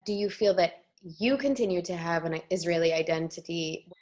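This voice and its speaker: A woman interviewing an artist, putting her questions in a measured, considered way. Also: lowish voice, a little mellow. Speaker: interviewer, choreographer and journalist. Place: Tel Aviv.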